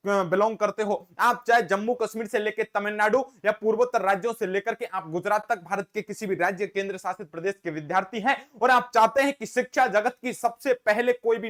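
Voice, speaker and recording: A man's voice: sits high, a bit bright. A man who teaches exam-prep classes, talking directly to his viewers in a casual, earnest way and often calling them his dear friends. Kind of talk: casual direct-to-camera explainer.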